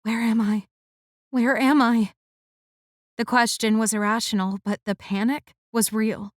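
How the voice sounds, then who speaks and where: A woman with a fairly high voice narrates a first-person romance audiobook, reading at a measured, expressive pace. The sound is dry and close, with no room around it. Her delivery stays steady and story-focused. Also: no echo.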